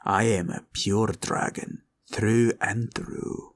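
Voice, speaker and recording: A man playing a proud, cunning green dragon in a villain roleplay audio, with a taunting drawl and a gravelly growl. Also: fairly low pitch, little pitch movement, takes it slowly. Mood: amused, scornful. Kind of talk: roleplay ASMR villain monologue.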